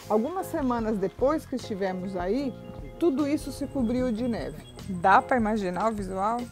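A woman's voice: on the high side, darkish tone. A Brazilian woman with a Brazilian Portuguese accent narrating a travel vlog in a cheerful, easy manner. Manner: cheerful, laughs often while filming.

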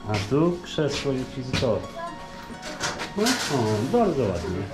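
A man's voice: mid-range voice, wildly swooping pitch, a little slow, loud music behind the voice. A man chatting casually and playfully with his kids on a family outing outdoors, talking mostly in Polish. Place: outdoors at a castle ruin.

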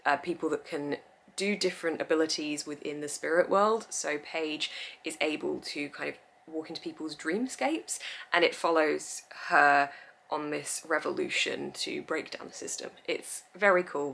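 A woman's voice: low-pitched, normal speed, gravelly, a bit bright. A young woman in her twenties chatting effusively about books, recorded indoors in front of bookshelves. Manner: chatty and effusive, laughs often. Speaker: book vlogger. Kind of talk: casual book review chat. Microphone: camera mic, head-and-shoulders framing.

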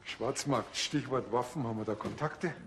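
A male actor as a small-town Bavarian policeman who nags and worries at his partner. The voice sits at a medium pitch. There is clear noise around him in this television drama. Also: normal speed, lightly acted. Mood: dry, worried.

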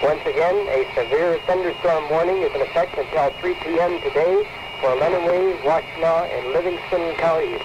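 Clear background noise from an old radio tape surrounds an American man with a fairly high voice. He reads a weather warning as a formal, scripted announcement. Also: normal speed, dull audio. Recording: noisy 1979 radio tape.

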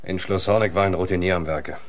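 A man playing a brisk, methodical police lieutenant in a radio crime play, questioning with businesslike authority. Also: low-pitched, steady tone. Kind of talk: radio crime drama acting. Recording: noisy radio play recording.

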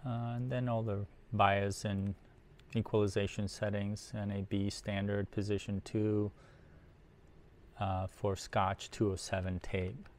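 A middle-aged man giving casual, unhurried unboxing commentary, thinking aloud as he handles things in a workshop. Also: fairly low pitch, flat delivery. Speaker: host of an audio gear unboxing video. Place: indoor workshop at a workbench.

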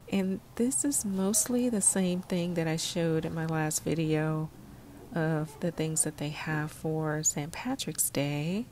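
Low-voiced, slow-paced woman vlogger. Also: darkish tone, slight background noise. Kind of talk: casual shop-with-me narration.

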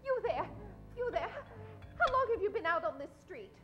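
A woman's voice: very high-pitched, sing-song, moderate pace, fairly bright tone, faint music behind, exaggerated voice. A middle-aged woman playing a panicked dress shop owner in old-time radio style, breathless and melodramatic. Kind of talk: 1940s radio acting. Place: live stage before standing radio microphone. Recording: dull, lo-fi stage recording.

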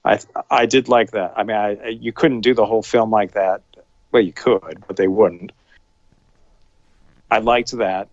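A man co-hosts a casual film review podcast and talks in halting bursts, often restarting his sentences. The recording is dry and close, with a somewhat dull, lo-fi quality. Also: fairly low pitch, steady tone, no echo. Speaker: podcast co-host.

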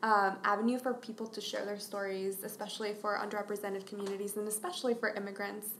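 A young woman in her twenties, originally from Mexico, talks earnestly as a podcast guest. She speaks in measured, thoughtful phrases dotted with ums. Her voice sits in a roomy space with a faint hiss behind it. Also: mid-range voice, flat delivery, fairly bright tone. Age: 20s. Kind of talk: podcast interview.

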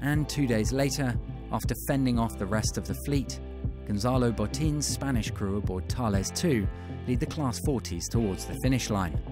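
A man giving scripted, measured documentary narration as a studio voice-over. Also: mid-range voice. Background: music.

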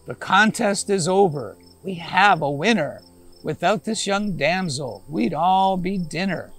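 Man as a speechmaking cicada, slow. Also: high voice, lively intonation, a bit bright, exaggerated voice. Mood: proclaiming. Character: male cicada speechmaker, proclaiming and jolly.

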